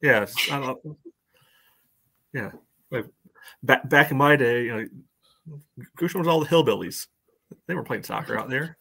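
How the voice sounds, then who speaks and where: A man's voice: mid-range voice, a little slow, creaky, pauses often, sharp tone, breathy edge. An American man in his forties co-hosting a casual soccer chat over a video call. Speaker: sports podcast co-host. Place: room at home.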